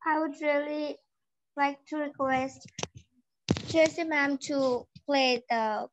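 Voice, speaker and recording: A woman's voice: high voice, fairly even intonation, slow pace, slight background noise. A teenage girl with a Bangladeshi accent hosting an online group reading over a video call. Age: teens. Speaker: session host. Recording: dull video-call audio.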